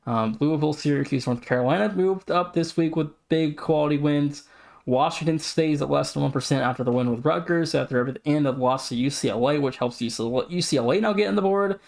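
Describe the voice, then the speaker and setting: A man's voice: a little high, a little fast, creaky, darkish tone. A man co-hosting a sports podcast, casually running through standings and team updates. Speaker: podcast co-host.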